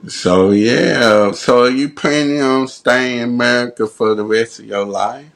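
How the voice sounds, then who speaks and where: A man hosting a podcast, talking in a slow, laid-back way with short reactions. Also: mid-range voice, gravelly, a bit bright. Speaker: podcast host. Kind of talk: casual conversation.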